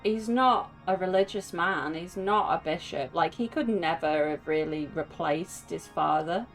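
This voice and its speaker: A woman's voice: lowish voice, measured pace, soft background music, low-quality recording. A woman giving casual, reflective and sympathetic commentary while watching a video game. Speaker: streamer reacting to a video game.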